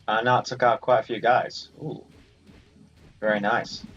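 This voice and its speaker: A man's voice: lowish voice, little pitch movement, unhurried, fairly bright tone. A man giving casual, rambling let's play commentary on a video game. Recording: muffled sound, game music behind. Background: video game music.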